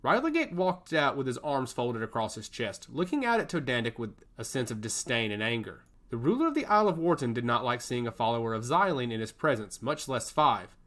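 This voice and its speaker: A man's voice: mid-range voice, big pitch swings, a little fast, hardly any pauses, fairly bright tone. A man narrating a scripted fantasy story in a dramatic storytelling style.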